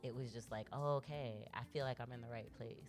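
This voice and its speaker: A woman with a very deep voice talks in a casual, reflective way. She pauses and hesitates as she thinks aloud. Faint music plays underneath her. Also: fairly even intonation. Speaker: podcast co-host. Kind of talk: casual chat, personal reflection.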